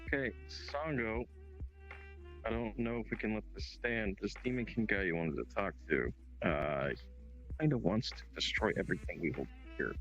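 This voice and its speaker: A man's voice: on the low side, normal speed, a bit bright, muffled recording, faint music behind. A man roleplaying casually over voice chat, asking questions and making mock threats.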